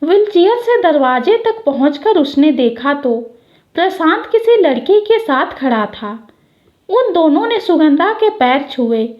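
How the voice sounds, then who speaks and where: A woman's voice: very high-pitched, big pitch swings, unhurried, lo-fi sound. A woman narrating a scripted dramatic short story as a storyteller.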